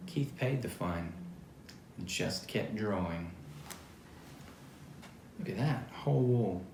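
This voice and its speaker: A man's voice: lowish voice, slow. A man reading a children's picture book aloud expressively, adding chatty little asides as he goes. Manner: expressive, acts out quoted lines, chatty asides. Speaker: storybook reader. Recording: roomy echo, faint background noise.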